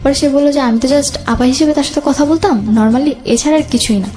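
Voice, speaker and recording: A young woman recounting her own life as an interview guest, in a halting, hesitant way. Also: sits high, mellow. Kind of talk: personal storytelling in interview. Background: background music.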